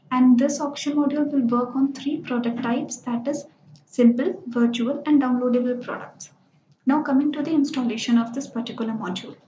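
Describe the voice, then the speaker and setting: A woman narrating a screen-recorded software tutorial, explaining each step plainly with lots of "so" and "like". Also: high voice, slight background noise. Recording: dull, roomy screencast voice-over.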